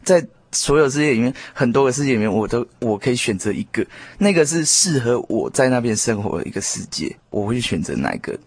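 A man giving his reflections in a casual discussion, deliberate and repeating phrases as he thinks. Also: medium pitch, sing-song, breathy edge, dry recording. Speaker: panel discussion participant.

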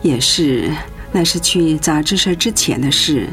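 Fussy, doting older mother, lowish voice. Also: expressive, unhurried, a bit bright. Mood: subdued, reflective. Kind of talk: radio drama acting. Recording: music behind the voice.

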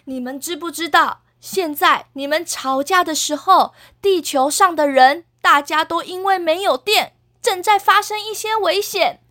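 Lady doing a grandma earth spirit from a kids story, high bright voice, scolding and begging them to stop fighting. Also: measured pace, hardly any pauses, full character voice. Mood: pleading. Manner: scolding, pleading with two squabbling heroes.

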